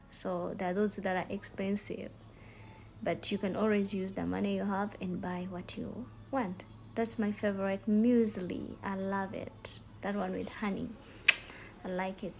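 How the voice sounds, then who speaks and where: A young woman with a Ugandan accent narrating a casual vlog in an informal, halting, repetitive way. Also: medium pitch, a little mellow. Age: young adult. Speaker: vlogger, student. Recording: store ambience noise, handheld phone. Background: faint ambient noise.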